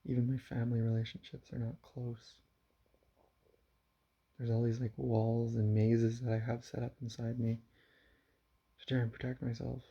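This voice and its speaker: A young man talks softly to his camera at home, his voice gravelly and a little husky. His delivery is halting and subdued, broken by sighs. The dull, lo-fi camera audio keeps it flat and close. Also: mid-range voice. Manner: quiet, subdued, halting and sighing. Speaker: vlogger. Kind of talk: confessional vlog to camera. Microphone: camera mic.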